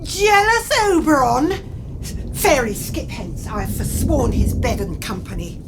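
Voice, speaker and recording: A woman's voice: on the high side, lively intonation, unhurried, noisy background. A woman playing a proud, indignant fairy queen in a Shakespearean radio play, declaiming verse haughtily. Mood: haughty, indignant. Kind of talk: theatrical Shakespearean verse acting.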